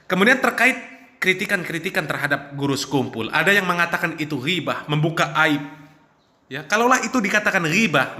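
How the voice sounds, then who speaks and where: A man's voice: fairly high pitch, pushed and bright. A man giving an Islamic religious talk in an emphatic, didactic way, repeating his key phrases. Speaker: Islamic preacher. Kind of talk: religious talk, rebutting criticism. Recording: echoey room.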